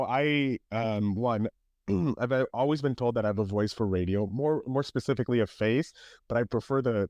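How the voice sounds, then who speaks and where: A man's voice: lowish voice, darkish tone, no room sound, clean studio sound. A man hosting a podcast interview, talking casually with plenty of fillers and restarts as he hypes up his guest. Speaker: podcast host.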